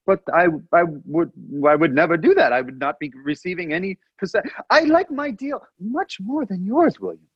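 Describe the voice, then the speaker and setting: A fussy, grandiose young royal , voiced by a man, snooty and easily indignant, speaking in a put-on Polish accent. His voice is very high and swings wildly in pitch. It has a husky edge, and the audio is dull and dry. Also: animated, normal speed, dry recording. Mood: pompous.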